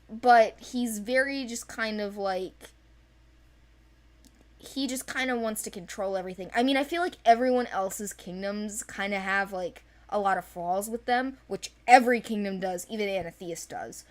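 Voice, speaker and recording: Female co-host, casual and playful, mid-range pitch, informal character rundown. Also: a little slow. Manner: giggly, playful, informal, acting out quotes. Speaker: co-host presenting an animated series project's characters. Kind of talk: casual chat.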